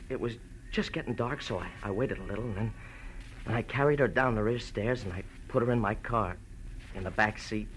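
An actor playing a frightened, halting young ex-convict in a 1940s radio melodrama, on an old recording. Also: male voice, medium pitch, fairly bright tone, a little hiss or hum, low-quality recording. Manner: halting, stammering, anxious.

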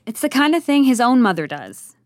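A woman reading scripted comedy-drama narration in a measured, wry storytelling manner. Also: on the high side, sing-song, no room sound.

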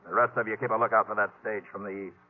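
Man acting a scornful outlaw leader, low voice, commanding, radio western, dull vintage broadcast sound. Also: little pitch movement, rapid-fire, soft background music, close to an everyday voice. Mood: menacing, commanding. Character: bossy, menacing male outlaw gang leader. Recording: muffled old 1950s radio recording.

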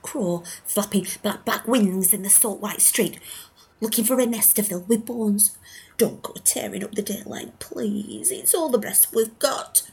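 An actress playing a terrified old woman ranting in the street, pleading and lurching between shouts and whispers. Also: medium pitch, a little slow, breathy edge, poor audio. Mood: raving. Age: older.

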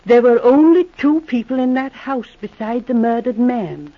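Prim, earnest lady secret agent. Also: a little high. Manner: brisk, earnest, a little prim. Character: Miss Guest, sharp-minded young woman secret agent. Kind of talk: 1940s radio acting. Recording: dull lo-fi old radio transcription.

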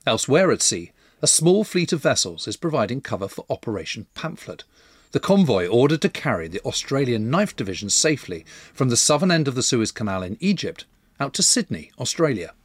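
A man's voice: medium pitch, very animated, fairly quick, breathy edge, dry recording. A man narrating a scripted history documentary as its storyteller.

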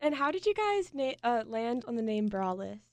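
A woman's voice: fairly high pitch, very animated, moderate pace, crowd noise. A woman hosting a college radio interview, asking questions of her guests.

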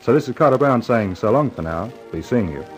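An actor playing a casual, chummy crime author hosting a 1950s radio mystery. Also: male voice, medium pitch, lively intonation, fairly quick, close to an everyday voice. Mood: relaxed. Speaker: storytelling host signing off a mystery show. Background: music under the voice.

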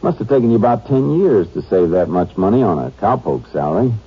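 A shrewd texas ranger in old radio drama, low husky american voice, terse, muffled recording. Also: male voice, sing-song, fairly quick, full character voice. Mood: casually probing. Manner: terse, matter-of-fact. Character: shrewd, laconic Texas Ranger investigating a case. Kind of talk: 1950s radio drama acting and narration.

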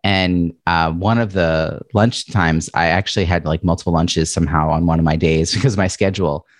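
Deep-voiced chatty male podcast host. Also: steady tone, fairly quick, no echo, clean studio sound. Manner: chatty, says he has a loud voice and big presence. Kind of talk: interview podcast.

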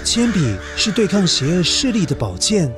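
A man narrates a children's story in an expressive, dramatic way, with exclamations and sound words. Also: sits high, normal speed. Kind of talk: animated scripted children's storytelling. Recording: music behind the voice. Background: music.

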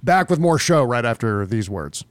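A man's voice: medium pitch, big pitch swings, normal speed. A man hosting a casual political talk show, speaking emphatically and opinionatedly, with some swearing. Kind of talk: casual political commentary, conversational.

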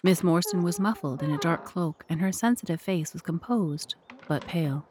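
A woman's voice: fairly low pitch, moderate pace, a little mellow, slightly rough. A woman reading scripted literary narration as the narrator of an audio drama.

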